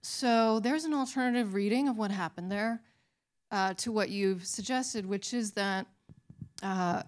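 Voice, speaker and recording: A woman speaking from the audience at a public talk as an academic specialist, politely and articulately laying out a question. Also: medium pitch.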